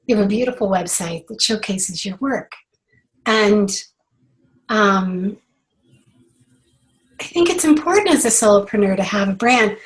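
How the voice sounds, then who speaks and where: A middle-aged woman with a hoarse voice from a cold, hosting a casual branding call and chatting with many fillers and restarts. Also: mid-range voice, rough recording. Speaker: branding coach hosting a clinic.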